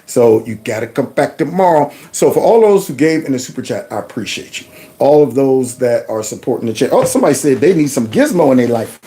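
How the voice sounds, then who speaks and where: A middle-aged man hosting a commentary show, mocking and animated with loud outbursts. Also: mid-range voice, quick pace. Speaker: host. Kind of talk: commentary vlog reacting to clips.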